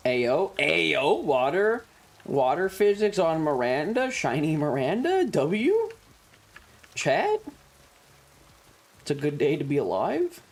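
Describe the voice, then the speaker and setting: Crude, animated game streamer with a mid-range voice. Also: medium pitch, takes it slowly, pauses often. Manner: crude, sarcastic, playful swearing. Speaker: streamer, host. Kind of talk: casual game stream commentary. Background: faint game music.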